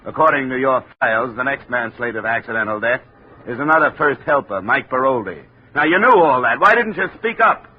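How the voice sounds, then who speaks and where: An actor narrating and playing a wry, tough insurance investigator in a 1950s radio drama. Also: male voice, mid-range voice, expressive, brisk, breathy edge. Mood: pressing. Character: hard-boiled male insurance investigator. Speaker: narrator and lead. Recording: dull 1950 radio broadcast audio.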